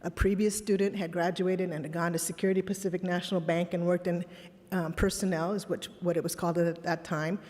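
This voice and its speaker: A woman's voice: lowish voice, little pitch movement, brisk, a little mellow. Woman giving a candid keynote, hall reverb. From Texas border town, raised in Los Angeles. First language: Spanish. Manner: candid, reflective storytelling with rhetorical questions. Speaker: conference speaker. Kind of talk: personal keynote speech. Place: conference hall. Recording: long reverb in a large hall.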